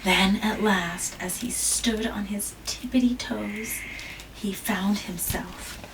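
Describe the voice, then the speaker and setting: A woman reading a children's picture book aloud, gentle, with dramatic whispers and asides. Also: medium pitch, fairly even intonation, slow pace. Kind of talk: read-aloud storytelling. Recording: distant mic with background hiss.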